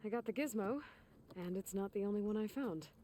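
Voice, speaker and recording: Woman playing a mellow, level-headed adventurer. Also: mid-range voice, brisk, a little hiss or hum, lightly acted. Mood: calm, wry. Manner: matter-of-fact, understated. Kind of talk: video game voice acting.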